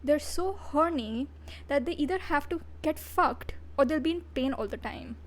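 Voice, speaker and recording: Woman VTuber, high voice, casual livestream reviewer, blunt, profane, giggly. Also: animated, normal speed.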